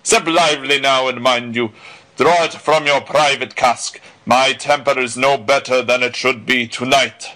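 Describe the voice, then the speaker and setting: An actor playing a blustering, swaggering bully who barks imperious, insulting orders in a dramatised audiobook. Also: male voice, mid-range voice, fairly even intonation, a little slow, sharp tone. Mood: bullying, commanding.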